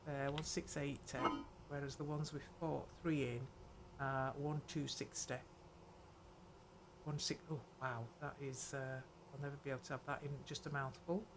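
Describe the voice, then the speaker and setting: An older woman hosting a gameplay video, muttering her thoughts aloud in an unhurried way. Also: very deep voice, flat delivery, background noise. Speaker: gaming channel host. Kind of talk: let's play commentary.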